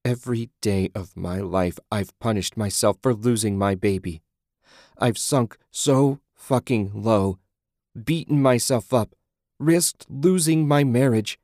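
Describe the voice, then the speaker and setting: A man voicing a furious, grieving woman in an audiobook, vengeful, forceful and profane as the character confronts someone. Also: on the low side, takes it slowly, no room sound, studio quality. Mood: enraged, accusing.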